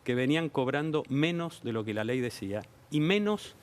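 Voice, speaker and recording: A man with an Argentine accent, a government official explaining policy on a TV talk show in a measured, explanatory way. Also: mid-range voice, lively intonation. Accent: Argentine Spanish. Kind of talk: TV interview answers. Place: TV studio.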